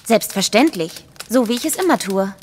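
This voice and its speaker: An actress playing a polite, composed young gentlewoman with a formal, earnest manner, in a German audio drama. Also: medium pitch, animated, normal speed, in a large hall, a little hiss or hum, close to an everyday voice.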